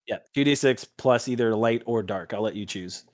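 A man's voice: on the low side, moderate pace. A man acting as game master, explaining options and narrating a tabletop game in informal, profane talk.

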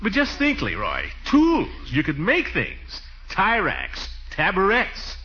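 An actor playing a pompous, soft-hearted middle-aged uncle who blusters and sighs in 1940s radio comedy style. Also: male voice, high-pitched, wildly swooping pitch, takes it slowly, heavily acted. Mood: exasperated, coaxing. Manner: blustering, sighing, swings from scolding to sheepish. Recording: dull 1940s radio recording.

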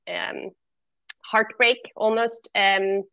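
A woman's voice: mid-range voice, fairly even intonation, extremely slow, creaky, sharp tone, dry recording, lo-fi sound. A middle-aged woman from Sweden with a Swedish accent, a native Swedish speaker, answering interview questions candidly and earnestly in conversation. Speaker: interview guest, former corporate executive.

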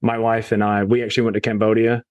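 A man's voice: fairly low pitch, level tone, fast talker, no echo. A man talking casually as a guest on a real estate podcast, leaning on fillers like "you know" and "right?".

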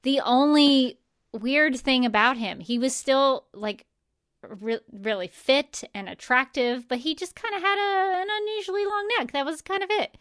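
A woman's voice: high-pitched, normal speed, a bit bright, no room sound, studio quality. A woman co-hosting a podcast in a casual, chatty and emphatic way, often acting out imagined remarks. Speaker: podcast co-host. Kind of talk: casual chat.

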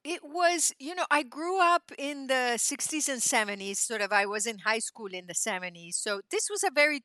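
A woman in her sixties from Argentina, telling her life story as a podcast guest, animated and full of fillers and restarts. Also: high-pitched, moderate pace, no echo. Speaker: podcast guest, spiritual teacher. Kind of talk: casual interview storytelling.

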